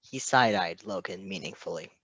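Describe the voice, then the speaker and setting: A man reading a story aloud in an expressive scripted narration style. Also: deep voice, normal speed, dry recording, dull audio. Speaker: podfic reader.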